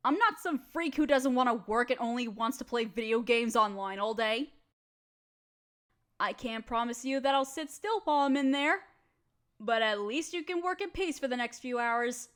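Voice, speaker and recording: High-voiced, restless young woman roommate acted by a woman. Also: lively intonation, normal speed, a bit bright. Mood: bored, whiny. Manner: slangy, dramatic, playfully complaining. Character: bored, restless, chatty young woman roommate stuck in quarantine. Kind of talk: casual scripted roleplay audio, talking to the listener.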